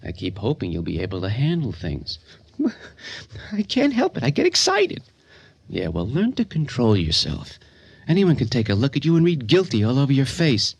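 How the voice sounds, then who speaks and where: An actor playing a sly, vengeful, disturbed son in a radio drama, swinging between needling mutters and excited outbursts. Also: male voice, mid-range voice, moderate pace, gravelly, husky, no room sound, full character voice. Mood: agitated. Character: disturbed grown son arguing with his own other self, sly, vengeful.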